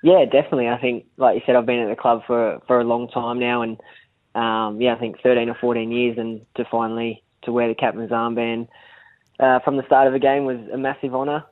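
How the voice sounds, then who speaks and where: A man from country New South Wales with an Australian accent and a rather high voice, talking easily as a guest on a phone line, with lots of fillers. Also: level tone, fairly quick, dry recording. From Central West of New South Wales, Australia. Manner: easygoing, many fillers and restarts. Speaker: football player guest. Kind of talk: phone interview on sports radio. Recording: dry tinny phone line.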